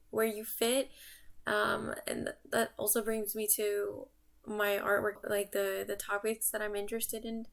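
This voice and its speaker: A young American-born woman whose voice has a steady gravelly fry, pitched in a middle range. She introduces herself to camera in a casual, unscripted way. She muses as she goes, as if working her thoughts out while she speaks. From United States. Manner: reflective, musing, thinks aloud. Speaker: artist and vlogger.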